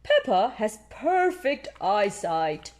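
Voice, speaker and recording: A woman's voice: mid-range voice, sing-song, takes it slowly, a little mellow. A woman voicing a kindly male pony doctor in a children's storybook, helpful and reassuring. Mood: helpful, cheerful.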